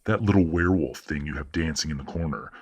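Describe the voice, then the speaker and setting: A man playing a condescending, manipulative werewolf father in an audio roleplay, speaking in a measured, patronizing and coldly genteel way. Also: full character voice. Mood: cold contempt. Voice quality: deep, husky. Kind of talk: audio roleplay drama acting.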